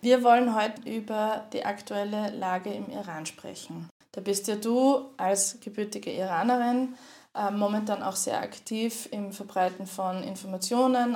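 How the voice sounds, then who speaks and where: A woman's voice: mid-range voice, darkish tone. A woman hosting an interview podcast, welcoming her guest and asking friendly, structured questions in German. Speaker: podcast host.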